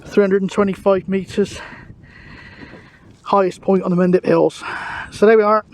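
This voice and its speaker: Man, high-pitched voice, middle-aged, chatty and matey, casual outdoor vlogging. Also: flat delivery, moderate pace, a little mellow, slight background noise. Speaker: vlogger and CB radio hobbyist. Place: outdoors on a windy hill. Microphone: camera microphone.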